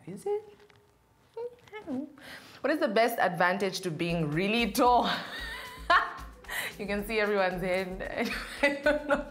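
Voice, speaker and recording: A young woman with a slightly low voice talks in a chatty, playful way, reading each question aloud before she answers it. She is recorded on an indoor studio set, with faint music under her voice. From Zambia. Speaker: interview guest. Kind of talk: casual Q&A interview.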